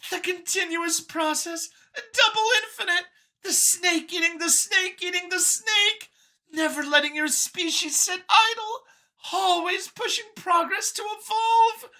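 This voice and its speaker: Squeaky, high-pitched nervous mouse scientist. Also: female voice, unhurried, bright, forceful tone, full character voice. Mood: admiring, eager. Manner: flustered, stammering, eager. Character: nervous female alien mouse scientist, earnest and well-educated. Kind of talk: acted audiobook character.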